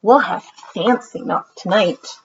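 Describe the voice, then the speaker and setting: A woman voicing a gentle, anxious mother in a children's storybook, speaking carefully. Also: medium pitch, measured pace, vocal fry, highs cut off, a little hiss or hum.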